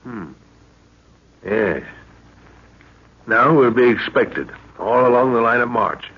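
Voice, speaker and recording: An actor playing a seasoned expedition leader and father in an old radio drama, speaking with deliberate authority. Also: mid-range voice, lively intonation, slightly rough. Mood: measured, determined. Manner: authoritative, deliberate, thoughtful hums. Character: seasoned, commanding expedition leader and father, middle-aged or older. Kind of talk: 1940s radio acting. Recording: noisy, dull old radio recording.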